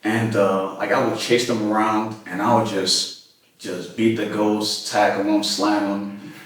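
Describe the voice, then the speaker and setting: A man's voice: on the low side, little pitch movement, unhurried. A man from Lagos, Nigeria, speaking as an interview guest and telling personal stories in a conversational way. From Lagos, Nigeria until age nine. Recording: very echoey room, distant microphone.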